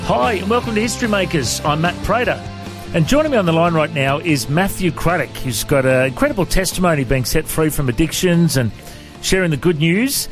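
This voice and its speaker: Male host, slightly high voice. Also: a little fast, music in the background. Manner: friendly, informal, calls guest mate. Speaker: podcast host. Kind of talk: casual interview.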